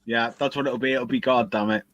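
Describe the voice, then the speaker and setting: A man's voice: mid-range voice, steady tone, a little fast, a bit bright, highs cut off, slight background noise. A man chatting casually as a guest modeler on a livestream video call. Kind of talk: casual chat on a group call. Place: home loft hobby room, on a video call.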